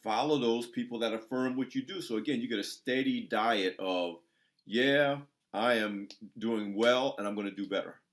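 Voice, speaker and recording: A man in his sixties speaks at a middle pitch, with the everyday tone typical of men his age. He hosts a conversation and delivers his points with emphatic, preacher-like encouragement. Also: normal speed. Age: 60s. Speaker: interview host. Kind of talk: conversational interview.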